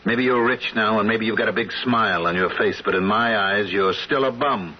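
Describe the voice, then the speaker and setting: Actor as a low-voiced bitter older father, vintage radio. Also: slight background noise, lightly acted. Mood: bitter, menacing. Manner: stern, scornful, deliberate. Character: bitter, proud, distrustful father in a wheelchair. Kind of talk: old-time radio drama acting. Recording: dull vintage radio recording, music behind.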